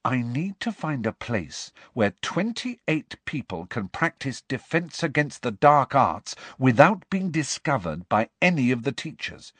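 A weary teenage boy wizard, quiet and thoughtful, speaking low and tired, as a male narrator puts him on. His tone moves around expressively, and the dry recording sits close to the listener. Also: big pitch swings, measured pace, few pauses, slightly rough, no echo, heavily acted.

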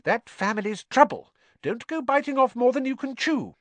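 A man voicing a warm but bossy middle-aged mother in a storybook reading, fretful, scolding and sharp-tongued. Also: medium pitch, wildly swooping pitch, normal speed, slightly rough, no room sound, exaggerated voice.

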